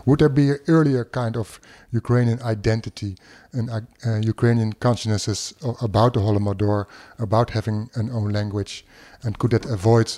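Interviewer: man, mellow and warm, halting phrasing. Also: on the low side, steady tone. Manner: hesitant, halting phrasing with many backchannels. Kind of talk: interview questions.

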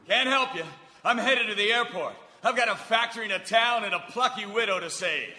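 A slick radio host turned earnest leading man in a comedic stage radio play. His voice is bright and fairly high, declaiming theatrically with big swings, in a reverberant hall. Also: fairly quick, a little hiss or hum. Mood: defiant, resolved. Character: slick national radio money-show host turned earnest romantic hero.

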